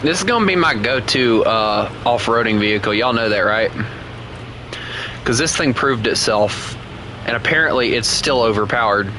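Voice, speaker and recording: A man's voice: mid-range voice, moderate pace, background noise. Chatty Southern man streaming a game. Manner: relaxed, chatty, talks to viewers as he plays. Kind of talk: casual gameplay commentary.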